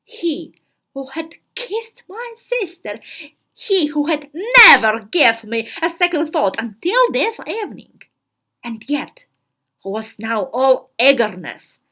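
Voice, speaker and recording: A woman voicing a haughty, melodramatic fourteen-year-old girl from a story diary, grandiose and theatrical with drawn-out emphasis. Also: high-pitched, very animated, bright, forceful tone, exaggerated voice. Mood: scornful, intense.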